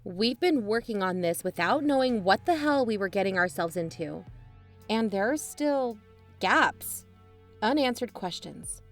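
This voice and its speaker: Audio drama role: guarded, practical female investigator, medium pitch, naturalistic delivery, music bed. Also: expressive, a little slow. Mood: determined. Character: pragmatic, guarded woman, an amateur investigator.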